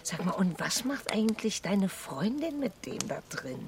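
A woman's voice: medium pitch, sing-song, normal speed, a little hiss or hum. An actress playing a stressed, sarcastic woman in her forties in a naturalistic radio drama, dry and nagging. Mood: irritated. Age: middle-aged.

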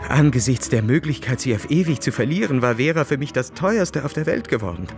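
Proud brooding officer telling his story in a radio play, introspective n intense, mid pitch, bit of music. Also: sing-song, fairly quick. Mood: anguished. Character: brooding young Russian officer, proud, world-weary, impassioned narrator. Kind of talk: German radio play narration.

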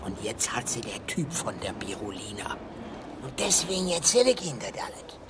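A man playing a nervous small-time informant in a radio play, muttering evasively in a breathy, hushed voice. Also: on the low side, sing-song, normal speed, very noisy. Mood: nervous, confiding.

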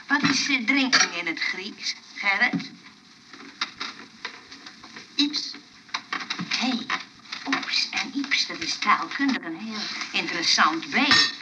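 An actress playing a fussy, effusive housewife hostess in a Dutch radio play, coaxing and exclaiming. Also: female voice, mid-range voice, animated, slow pace, pauses often. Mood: chatty. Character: fussy, excitable housewife hostess, chattering and eager to please. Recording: noisy old radio play recording.

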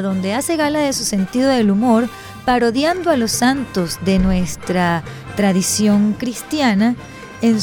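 A woman's voice: on the high side, darkish tone. A woman, reading scripted narration as a radio presenter.

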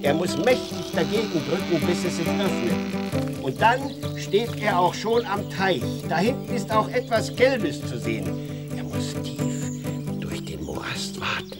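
A man playing an expressive storyteller in a children's radio drama, narrating in a deliberate, dramatic way. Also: high voice, over loud music. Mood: suspenseful. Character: expressive male storyteller who also talks with the boy.

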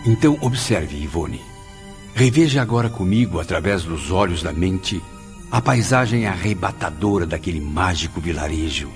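A man's voice: deep voice, animated, normal speed, faint music behind. An actor playing a grateful, solemn male spirit in a radio drama, speaking in a florid, declamatory way. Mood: awed, solemn. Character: Camilo, grateful, solemn male spirit recalling his past.

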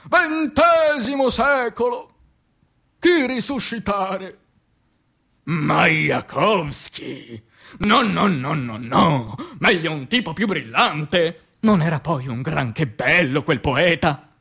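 An anguished revolutionary poet, acted by a man in radio theatre, declaiming verse in a high-pitched male voice. The delivery is slow and theatrical, with weighty pauses and passionate swings from hushed to loud. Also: expressive, vocal fry, slightly rough, heavily acted. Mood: exalted, visionary, then desperate pleading. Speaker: stage actor.

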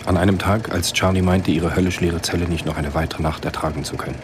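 An actor as the composed narrator of a German radio spy thriller, telling the story in a measured, literary way. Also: male voice, low-pitched, steady tone, background noise, close to an everyday voice. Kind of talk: scripted radio drama narration.

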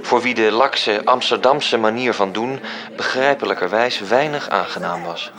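An actor playing a measured, wry storyteller, delivering scripted literary narration in a radio drama. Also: male voice, on the low side, crowd noise, natural voice. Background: music.

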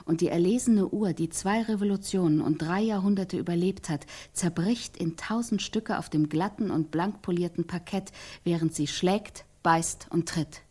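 An actress playing an insistent, exacting scriptwriter who invents a tragic love scene in a stylised radio play, speaking deliberately and precisely. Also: female voice, fairly low pitch, dark tone, slight background noise, natural voice. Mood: dramatic, measured. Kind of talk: stylised radio drama acting.

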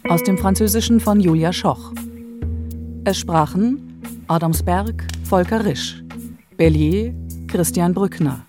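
A woman announcer reading scripted radio-play credits in a measured, neutral way. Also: lowish voice. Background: guitar music.

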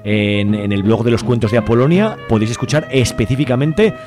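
A man's voice: medium pitch, brisk, music in the background. A man with an Aragonese Spanish accent hosts a radio show, talking in a conversational way with colloquial asides. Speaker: radio host. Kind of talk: casual radio chat.

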